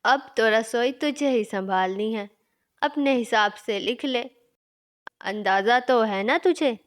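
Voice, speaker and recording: An older mother-in-law in a cartoon family tale, acted by a woman. She speaks slowly in a fairly high voice, reasoning in a gentle, motherly way. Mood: brisk, instructing. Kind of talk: Hindi cartoon story voice acting.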